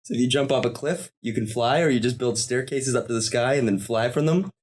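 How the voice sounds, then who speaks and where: A man's voice: medium pitch, brisk. A man who is a guest on a podcast, talking casually and bluntly, with enthusiasm, about the games he has played. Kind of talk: casual chat.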